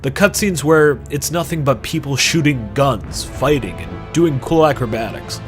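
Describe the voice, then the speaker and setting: A man's voice: medium pitch, animated, measured pace, soft background music. A man narrating a scripted video essay about games in an emphatic, conversational way with sarcastic asides.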